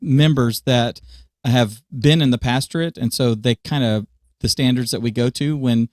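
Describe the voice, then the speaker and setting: A man's voice: mid-range voice, moderate pace, dry recording, clean studio sound. A man co-hosting a casual podcast chat about church media, explaining sound setups through everyday church examples.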